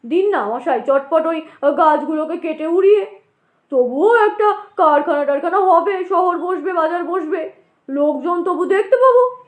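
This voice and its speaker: A woman's voice: very high voice, animated, measured pace, echoey room. A woman voicing a stout, talkative, sceptical male station master in an audio drama, blustery and full of exclamations. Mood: eager. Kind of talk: dramatized audio story dialogue.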